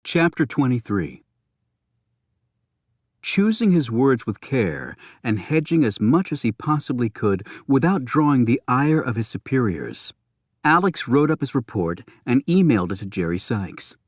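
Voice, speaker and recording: A man's voice: medium pitch, big pitch swings, measured pace, no echo. Man narrating an audiobook, very dry sound. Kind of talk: scripted thriller audiobook narration.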